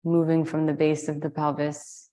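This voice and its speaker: A woman leading a guided meditation, giving slow, soothing instructions with long pauses. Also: sits low, completely flat delivery, dark tone. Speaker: meditation and yoga teacher.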